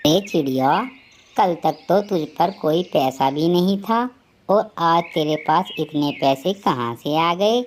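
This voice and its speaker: A woman's voice: low voice, slow, a little hiss or hum. A woman voicing a bossy, demanding male crow moneylender in a children's cartoon. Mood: suspicious. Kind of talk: Hindi children's cartoon voice acting.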